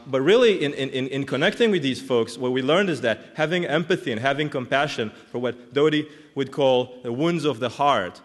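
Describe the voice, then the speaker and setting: A man in his thirties from Israel, speaking English, giving an earnest, reflective conference talk as a clinical psychologist. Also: medium pitch. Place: large conference hall. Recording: long hall reverb.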